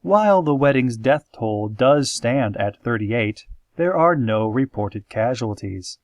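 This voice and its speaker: A man playing a solemn, eerie pony radio host, reading scripted parody news in a measured, formal deadpan. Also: mid-range voice, no echo, highs cut off.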